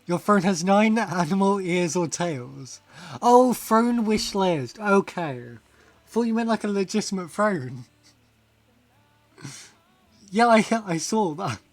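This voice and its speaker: A man's voice: high-pitched, animated, slow, long pauses, no echo. A man chatting casually on a game stream, rambling, laughing often and reading chat aloud. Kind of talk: casual live-stream chat while gaming.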